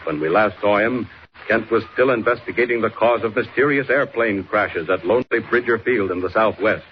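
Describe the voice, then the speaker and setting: A man's voice: lowish voice, fairly quick. A man announcing and narrating in a dramatic 1940s radio serial style. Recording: muffled, faintly noisy old radio recording.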